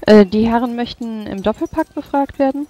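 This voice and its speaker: A woman's voice: a little high, a little fast, warm tone. A woman in a tabletop roleplaying game, speaking in character with polite, formal, official-sounding questions.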